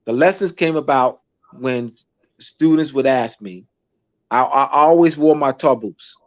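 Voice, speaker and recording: A man, a schoolteacher, talking in a casual interview, halting with many restarts and fillers. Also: medium pitch. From United States. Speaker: schoolteacher guest. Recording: dull lo-fi recording.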